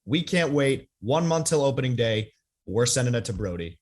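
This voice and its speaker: Guy podcast host, medium pitch voice, casual but kinda presenterly. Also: expressive, moderate pace, darkish tone. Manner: presenterly, informal. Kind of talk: casual show hosting.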